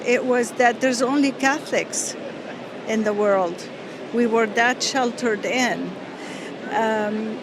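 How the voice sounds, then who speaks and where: A woman from Lebanon tells her story slowly and earnestly, pausing often and searching for words. Her voice sits a little high for a woman. Traffic and street sounds are clearly heard behind her outdoors. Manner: earnest, halting storytelling with many fillers. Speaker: interview guest. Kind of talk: personal testimony.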